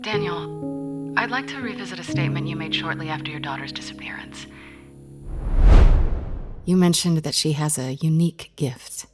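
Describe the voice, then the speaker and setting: A woman's voice: deep voice, over music, lightly acted. A woman playing an anxious, earnest grief counselor in an audio drama, speaking in a hesitant, insistent way. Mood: calm, probing. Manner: hesitant, halting, earnest pleading.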